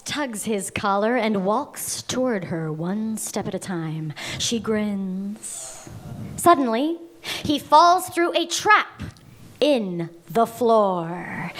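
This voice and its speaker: A woman reading the stage directions at a live table read in a measured, deliberate way. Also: fairly high pitch.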